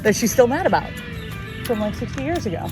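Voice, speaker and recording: A woman's voice: a little high, big pitch swings, a little fast, over music, rough recording. A woman talking candidly and reflectively about personal things in a casual conversation. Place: outdoors on a walk.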